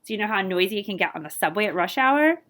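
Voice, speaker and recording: Very animated woman reading a storybook aloud. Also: mid-range voice, fast. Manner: animated, playful with scat-like sound words. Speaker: librarian reading a picture book aloud. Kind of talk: expressive children's read-aloud with asides to listeners.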